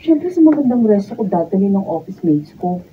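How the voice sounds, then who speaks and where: A woman playing a vain, breezy young working woman in a Filipino radio drama, with a husky voice and a Filipino accent. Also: medium pitch, sing-song, fairly quick, a little hiss or hum, natural voice. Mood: fretting. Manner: breezy, colloquial. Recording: dull, distant room pickup.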